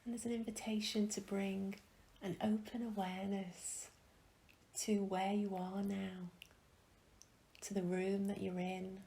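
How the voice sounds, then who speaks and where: A woman leading a guided meditation in a gentle, calm, soft and breathy voice, with long pauses, often trailing into a whisper. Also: mid-range voice, poor audio. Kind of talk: guided meditation, soothing instruction.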